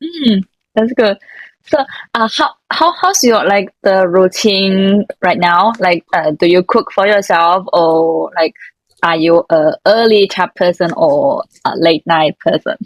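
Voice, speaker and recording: A woman's voice: lowish voice, slow, dry recording, rough recording. A woman hosting a casual interview, who backs up her guest with short encouraging replies. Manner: encouraging, frequent short backchannels. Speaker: interview host.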